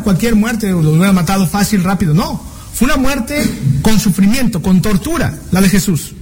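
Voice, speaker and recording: A man's voice: high-pitched, a little mellow, slight background noise. A man from Mexico preaching a sermon, earnest, asking rhetorical questions and drawing out key words for emphasis.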